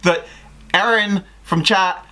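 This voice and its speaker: A young man chatting casually and reading stories aloud in an animated, jokey way. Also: high voice, takes it slowly. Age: young adult. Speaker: co-host of a fan fiction video. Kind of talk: casual chat and story read-aloud. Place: small indoor room at home.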